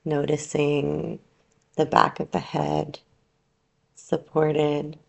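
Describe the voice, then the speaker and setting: Female meditation guide, heavy vocal fry, very even intonation, soothing delivery with long pauses. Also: low-pitched. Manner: soothing, unhurried, gently instructing with long pauses. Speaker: yoga nidra teacher.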